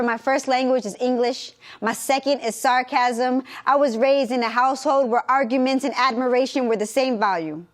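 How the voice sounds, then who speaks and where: A young woman recites a spoken word poem with a rhythmic, measured cadence, moving between English and Spanish. Her voice sits high. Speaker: performance poet. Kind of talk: spoken word poetry performance.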